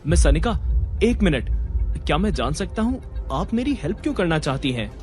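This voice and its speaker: An intense, commanding young man, fairly high for a man and swinging widely in pitch. Prominent music plays under his dramatic lines. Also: big pitch swings, moderate pace, darkish tone. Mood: polite, curious. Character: intense wealthy young man, suspicious, devoted grandson. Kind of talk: Hindi audio drama acting.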